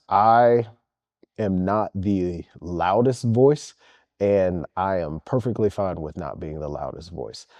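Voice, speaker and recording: Earnest male coach in an interview; low, dark voice, dry close sound. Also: no echo. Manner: earnest and emphatic, repeats key points. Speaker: head basketball coach. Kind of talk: interview answers.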